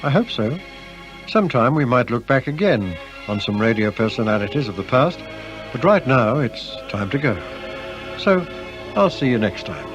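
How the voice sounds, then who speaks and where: Male radio presenter, husky, scripted nostalgia narration, lo-fi tape sound with faint music. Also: mid-range voice, big pitch swings, moderate pace. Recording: dull lo-fi old radio tape.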